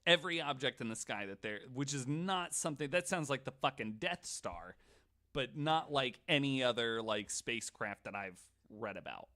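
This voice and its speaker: Male podcast host, mid-pitched voice, casual storyteller with an irreverent, sweary streak and stammered restarts. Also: animated, normal speed, pushed and bright. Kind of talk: casual storytelling chat, sometimes reading quotes aloud.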